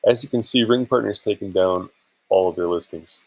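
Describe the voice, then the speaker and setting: A man's voice: on the low side, moderate pace, slightly rough, poor audio. A man chatting casually in an informal, rambling and hesitant way for a screen-share vlog, sounding a bit tired. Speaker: vlogger and affiliate marketer.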